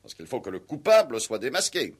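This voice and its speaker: An actor in a 1960s French radio play, playing a proud, eccentric ageing war veteran with a pompous, emphatic delivery. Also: male voice, medium pitch, sing-song, brisk, husky.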